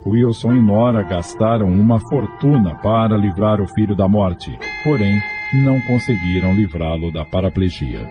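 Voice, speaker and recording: A man's voice: fairly low pitch, moderate pace, hardly any pauses, lo-fi sound, loud music behind the voice. A Brazilian man giving scripted, dramatic narration for a radio soap opera.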